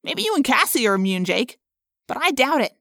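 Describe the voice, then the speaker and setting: A woman's voice: high-pitched, sing-song, brisk, fairly bright tone, no room sound, clean studio sound, pitched above the performer's own voice, heavily acted. Woman doing a blunt, cynical teenage boy. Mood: bleak. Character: jumpy, stressed, wisecracking teenage boy.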